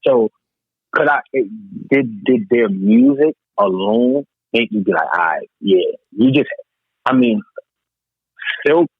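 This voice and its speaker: Man, American accent, husky, casual podcast debate, emphatic, dry thin radio-like recording. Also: mid-range voice, big pitch swings, slow pace, dry recording. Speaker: podcast host discussing music. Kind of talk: casual hip hop debate. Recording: muffled narrow radio-like sound.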